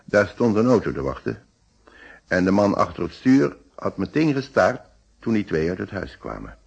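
A man's voice: lowish voice, big pitch swings, moderate pace, gravelly, slightly rough, muffled recording, full character voice. An actor playing a hesitant, polite middle-aged married man answering questions as a witness in a Dutch radio play. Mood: careful, cooperative.

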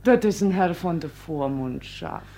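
A woman's voice: low-pitched, animated, a little slow, warm tone, a little hiss or hum. An actress playing a wronged, stubborn young servant mother in a stage drama, speaking broken German in plaintive outbursts. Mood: sullen, defiant. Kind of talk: theatrical naturalist stage drama acting.

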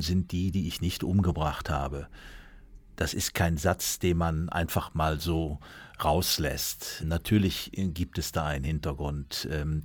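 A man's voice: deep voice, dry recording. A man giving emphatic, plain-spoken expert commentary as an interviewee in a documentary.

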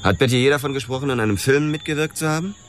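An actor in a noir radio drama playing a blunt, sardonic homicide detective who questions insistently. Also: male voice, medium pitch, rapid-fire, a little hiss or hum, close to an everyday voice. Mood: probing. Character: hard-boiled, insistent male homicide police detective.

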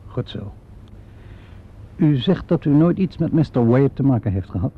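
An actor playing a calm, probing police inspector in a Dutch radio play, questioning politely and methodically. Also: male voice, medium pitch, normal speed, husky. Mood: measured, persistent. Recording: dull, lo-fi old radio recording.

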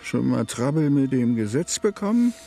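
An actor playing a stubborn, wary old ranger who speaks haltingly in a German western radio drama. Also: male voice, medium pitch, very animated, vocal fry, warm tone. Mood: wary, dry.

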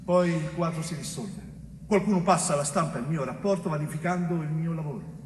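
An actor playing a dead police chief in a stage monologue, bitter and lucid, with deliberate dramatic pauses and falling phrase endings. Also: male voice, a little high, darkish tone, lightly acted. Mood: bitter, measured. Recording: roomy stage recording, distant mic, faint noise.

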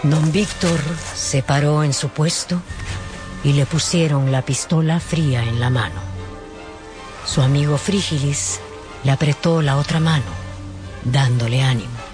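A man's voice: a little high, unhurried, over music. A man narrating a scripted radio drama as a storyteller.

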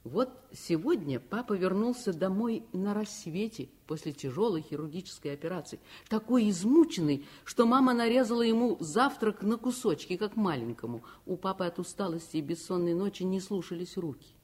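A woman's voice: mid-range voice, lively intonation, moderate pace, few pauses, darkish tone, breathy edge. An actress playing a curious, eager nine-year-old girl who narrates her own story in a radio play. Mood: confiding.

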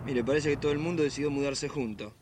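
An actor playing a gruff, impatient furniture mover in a radio drama. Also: male voice, mid-range voice, fast talker, close to an everyday voice. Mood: irritated. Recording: noisy street scene behind.

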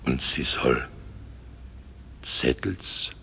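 A man acting a foolish, boastful craftsman in a German radio play, halting and wonderstruck, with a gravelly, husky voice. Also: a bass voice, sharp tone, slight background noise, exaggerated voice. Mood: wonderstruck, musing. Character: foolish boastful craftsman waking bewildered from a dream.

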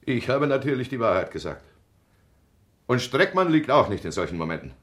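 A man's voice: mid-range voice, sing-song, a little fast, fairly bright tone. An actor playing a wounded, angry, bitter village man in a German radio play, accusing and volatile. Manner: accusing, explosive, then subdued. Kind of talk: German radio play, emotional stage acting.

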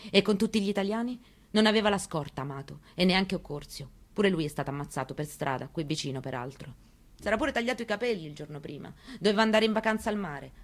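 A woman performing a dramatic reading as a grieving, defiant elderly mother, telling her story with pointed rhetorical questions. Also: on the low side. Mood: indignant. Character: grieving elderly Italian mother, bitter, defiant, searching for answers. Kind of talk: dramatic stage reading of a memoir, monologue.

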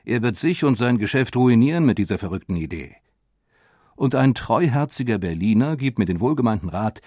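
A man playing a motoring pioneer who recalls public mockery with wry drama, in measured, expressive storytelling for an audio documentary. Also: mid-range voice, slightly rough, dry recording, clean studio sound. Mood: mocking, indignant. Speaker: voice actor reading historical eyewitness accounts. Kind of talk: dramatized scripted reading of a memoir.

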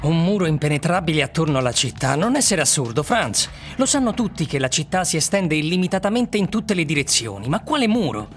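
A man's voice: fairly high pitch, very animated, brisk, hardly any pauses. An actor playing a sensible, sceptical man in a radio drama, reasoning dismissively, with music behind him. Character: sceptical, sensible male friend, dismissive of wild theories. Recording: music bed under the voice.

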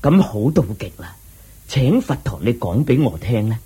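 A man voicing an earnest official in a radio drama, asking a teacher questions deferentially in a husky voice. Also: medium pitch, sing-song, moderate pace, warm tone. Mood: inquisitive. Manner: deferential, inquisitive. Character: earnest, respectful male official of a small kingdom.

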